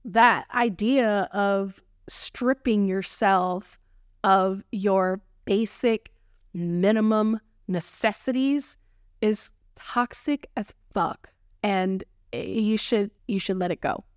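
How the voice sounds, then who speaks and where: A woman in her thirties with a Texan accent, hosting a solo podcast in a playful, expressive way with casual slang. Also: medium pitch, slow, smooth, no echo, clean studio sound. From Texas, United States. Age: 30s. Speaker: podcast host.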